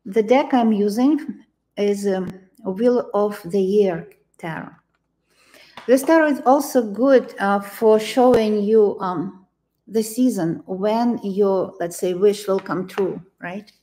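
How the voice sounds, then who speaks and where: A woman in her sixties speaks with a Ukrainian accent at a slow pace. She gives a tarot reading in a living room, choosing her words with care and pausing as she goes. Her pitch sits in the middle for a woman. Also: warm tone, low-quality recording. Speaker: tarot reader.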